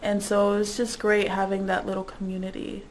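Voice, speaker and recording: A woman's voice: mid-range voice, monotone, brisk, slight background noise. A woman in her twenties, born in the Dominican Republic and raised in Indiana, talking casually about her internship as an interview guest. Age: 20s.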